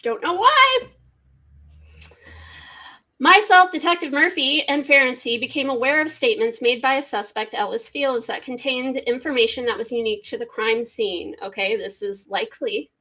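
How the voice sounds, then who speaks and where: Bright high-voiced woman host. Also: normal speed. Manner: chatty, reads aloud then comments with swearing asides. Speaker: podcast host. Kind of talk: casual true-crime commentary, reading documents aloud.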